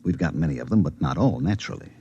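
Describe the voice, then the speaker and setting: A government security chief with a low, dark, husky voice in 1950s radio drama style. He is formal and deliberate, leaning on his listener with official persuasion. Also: expressive, highs cut off, full character voice. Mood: official, persuasive.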